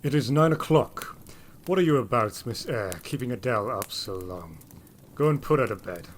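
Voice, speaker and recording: A man acts out an abrupt, imperious master of a gothic house, questioning and ordering in a mid-pitched voice. It is period audio drama, with clear background noise behind him. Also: lively intonation, moderate pace, lightly acted. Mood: commanding. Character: brusque, commanding gentleman master of a gothic manor.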